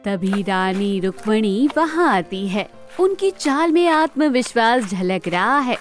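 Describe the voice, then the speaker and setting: A woman's voice: mid-range voice, sing-song, slow, faint music behind. A woman narrating a scripted storybook tale.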